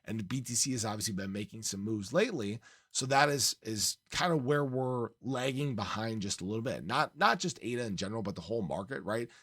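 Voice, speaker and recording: Male crypto commentator, slightly hoarse, upbeat and insistent, fairly low pitch. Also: animated, a little fast, fairly bright tone, no room sound, studio quality. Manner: upbeat, emphatic, leans on "again" and "right?". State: slightly hoarse, losing his voice. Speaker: crypto channel host. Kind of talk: casual market commentary to camera.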